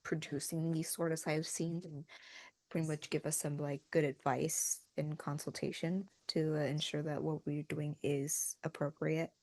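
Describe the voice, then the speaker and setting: A woman's voice: sits low, level tone, normal speed, a little mellow, dry recording. A woman in casual podcast chat, talking in a reflective, hedging way. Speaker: fandub team member on podcast.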